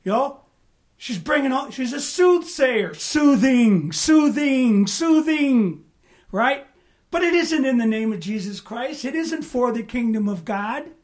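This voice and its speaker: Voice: high-pitched, expressive, slow pace. A pastor teaching a Bible study in a conversational way, often asking the room "right?" and "amen?", with bursts of emphasis.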